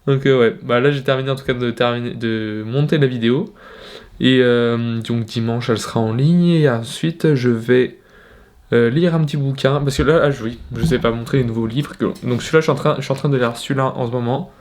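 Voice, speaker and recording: A man's voice: mid-range voice, a little fast, darkish tone. A young man casually talking to camera in his bedroom, hesitant, with many fillers and restarts. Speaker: fitness vlogger. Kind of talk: casual vlog talk to camera.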